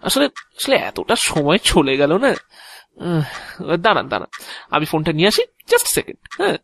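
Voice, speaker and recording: A man acting an excitable, chatty office clerk in an audio drama, gushing sarcastic flattery with stammered repetitions. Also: a little high, moderate pace, creaky, slight background noise, full character voice.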